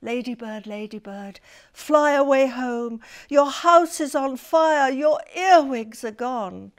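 A woman's voice: high voice, slow pace, clean tone. A woman voicing a helpful male earwig friend who recites rhymes in a sing-song storybook manner. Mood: eager reciting.

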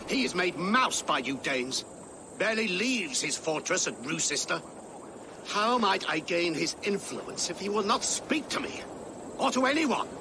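A man's voice: high-pitched, noisy background. An actor playing a scheming, fussy older English churchman in a video game, talking in a wry, self-important, theatrical way. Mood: exasperated. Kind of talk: video game cutscene acting.

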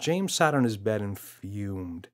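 A man's voice: fairly low pitch, sing-song, takes it slowly. A man narrating a children's audiobook as a storyteller.

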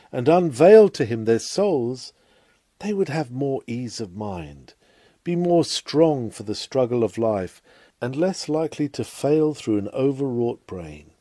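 A man reading a scripted sermon aloud in a formal, measured, oratorical manner. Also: mid-range voice, mellow, no echo. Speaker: reader of a sermon.

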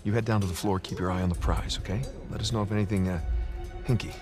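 A man's voice: low voice, steady tone, quick pace, lightly acted. An American man acting a wry, confident treasure hunter in his thirties in a video game cutscene. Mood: planning, assured. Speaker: lead adventurer character. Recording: loud background music.

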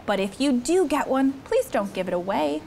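A young woman hosting a children's story time, reading aloud in an expressive, sing-song way and chatting with the kids. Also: sits high, normal speed, gravelly, a little hiss or hum. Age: young adult. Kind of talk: animated read-aloud to children, with chat. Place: indoor studio set with children seated on a rug.

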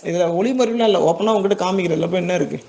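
A man's voice: high voice, very fast, creaky, a little mellow. A man with a Tamil Nadu accent giving an informal step-by-step tutorial, conversational, checking in with listeners and repeating words for emphasis. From Tamil Nadu, India. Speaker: export business owner giving a tutorial.

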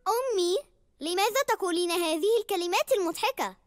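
A woman voicing a cheerful, bossy little girl piglet in a dubbed cartoon, giggly and lively. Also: extremely high pitch, fast, no room sound, studio quality, soft background music. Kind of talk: Arabic cartoon dub.

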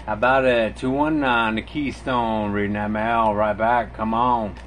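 A man's voice: on the low side, fairly even intonation, unhurried. A man working as a CB radio operator, making friendly, repetitive call-sign calls in radio slang. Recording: noisy radio static in the room. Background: CB radio static.

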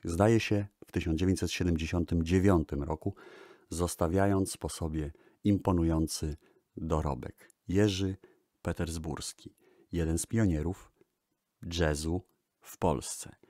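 A man from Poland hosting a music programme, introducing old records in a formal, measured, radio-style way with elegant diction. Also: deep voice, darkish tone, no echo, studio quality.

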